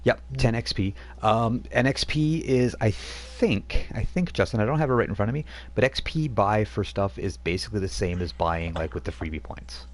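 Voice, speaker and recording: A man's voice: fairly low pitch, animated, normal speed, few pauses. A middle-aged man acting as game master, loose and chatty, full of fillers and restarts. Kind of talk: running a tabletop game over a live stream.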